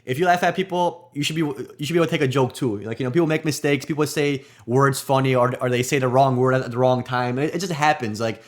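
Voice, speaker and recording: Man, nurse podcast co-host, quick delivery, earnest, word repeats, mid pitch. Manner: rapid, earnest, repeats words as he restarts. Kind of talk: casual podcast chat.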